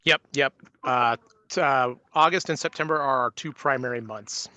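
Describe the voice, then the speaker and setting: A man's voice: medium pitch, normal speed, sharp tone, no echo. A man presenting an update in a meeting, conversational and loosely phrased. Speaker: public health surveillance presenter.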